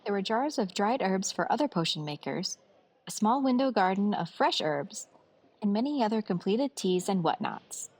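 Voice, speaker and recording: A woman's voice: mid-range voice, expressive, normal speed, a little mellow. A woman narrating a scripted fantasy story as the storyteller of an audio drama.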